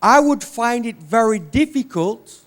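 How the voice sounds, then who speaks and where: A man, a native English speaker, preaching in short phrases with pauses for an interpreter and repeating them for emphasis. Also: extremely high pitch, animated. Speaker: visiting preacher.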